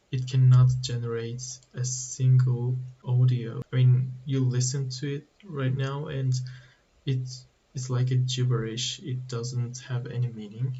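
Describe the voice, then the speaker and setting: A man explaining code slowly with halting restarts, as a tutorial host. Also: medium pitch, dark tone, highs cut off, slight background noise. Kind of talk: screen-recorded coding walkthrough.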